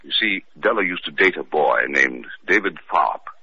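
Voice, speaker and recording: A very low-voiced, fussy rich husband in an old radio mystery. He hesitates and stammers, with a husky rasp, on a dull vintage recording. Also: lively intonation, bright, forceful tone, heavily acted. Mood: anxious. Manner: halting, stammering with uh and well. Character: hesitant, suspicious wealthy husband, a fussy art lover. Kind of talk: 1940s-50s radio detective drama acting.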